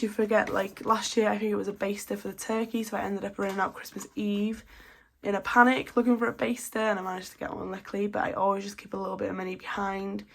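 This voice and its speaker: A woman, chatting casually and counting cash aloud, slightly blocked up from a cold. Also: medium pitch. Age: 20s. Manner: chatty, steady counting of notes. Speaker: budgeting vlogger.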